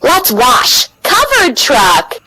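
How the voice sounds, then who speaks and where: A woman's voice: high-pitched, wildly swooping pitch, extremely slow. A woman reading scripted narration for a children's cartoon in short, encouraging instructional phrases, with vehicle noise behind her.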